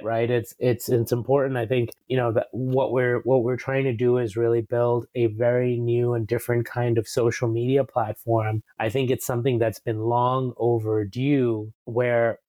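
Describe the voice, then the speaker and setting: A man's voice: medium pitch, darkish tone. An American man, a company founder, talking earnestly in a casual podcast interview over a video call, often repeating words as he starts sentences. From United States.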